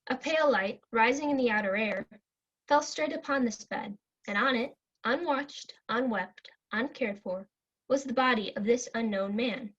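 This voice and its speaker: A young female student reads narration from a classic tale. She has a fairly high voice, captured in a dull, lo-fi recording of an amateur readers' theatre. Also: normal speed, a bit bright, slightly rough. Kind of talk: scripted storybook narration.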